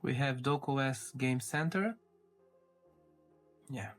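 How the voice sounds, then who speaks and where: A man's voice: medium pitch, takes it slowly, fairly bright tone, soft background music. A man giving an informal, step-by-step tech review commentary as he handles a device. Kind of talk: casual unboxing walkthrough commentary.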